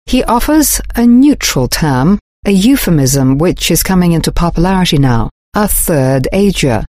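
A woman playing an older female journalist, a polyglot, answering questions in a measured, explanatory way in a scripted textbook dialogue. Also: fairly low pitch, big pitch swings, a little mellow, no echo, studio quality. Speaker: interviewee.

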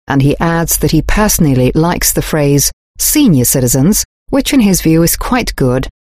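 Actress as an older journalist: low, lively intonation, measured and explanatory, dry studio read. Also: female voice, dry recording. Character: older woman journalist, calm polyglot. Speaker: interviewee. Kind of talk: scripted textbook dialogue.

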